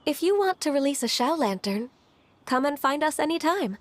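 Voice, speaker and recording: A woman playing a gentle, modest and polite young disciple in a video game. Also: high voice, expressive, moderate pace, slight background noise. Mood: courteous.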